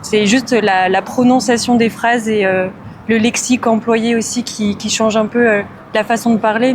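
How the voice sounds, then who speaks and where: A woman's voice: on the high side, a little hiss or hum. A woman interviewed as a passer-by, giving casual, reflective answers and thinking aloud with repetitions.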